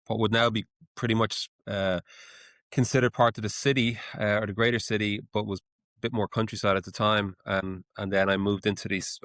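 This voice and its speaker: An Irish man in his forties with a low voice answers interview questions, often pausing and restarting as he searches for words. The sound is dry and close to the mic. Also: a bit bright, no room sound. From Ireland. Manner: hesitant, with many fillers and restarts. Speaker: guest, menswear brand founder. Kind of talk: casual interview.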